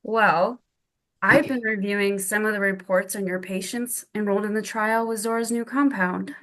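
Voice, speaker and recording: A woman playing a stern, coolly threatening medical colleague with a deliberate, pointed delivery at a drama table read. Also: mid-range voice, steady tone, a bit bright, close to an everyday voice. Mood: coolly polite.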